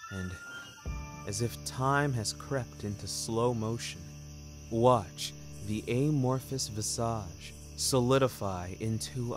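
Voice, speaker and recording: A young man reading scripted first-person fiction, carefully phrased, in a literary and dramatic manner. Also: mid-range voice. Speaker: narrator and author of a fiction podcast.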